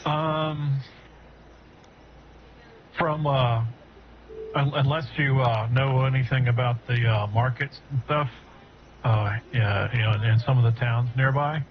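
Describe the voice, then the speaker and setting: Male game master, mid pitch, stop-start narration, dull lo-fi sound. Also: steady tone, slight background noise. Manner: halting, with many fillers and restarts. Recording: dull lo-fi audio.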